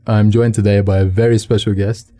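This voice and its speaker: Low, flat-voiced young male radio host. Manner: polite, measured, frequent affirming murmurs. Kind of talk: scripted radio interview.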